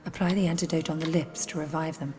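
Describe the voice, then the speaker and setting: An actress playing a businesslike agency handler in a video game, measured and matter-of-fact. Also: female voice, low-pitched, fairly even intonation, warm tone, close to an everyday voice. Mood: composed, businesslike. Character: businesslike female agency handler briefing an assassin. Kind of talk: video game cutscene acting. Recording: long reverb, faint noise.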